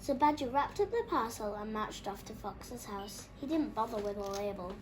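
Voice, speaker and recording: A woman reading a children's picture book aloud as an expressive storyteller. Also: a little high, normal speed, noisy background.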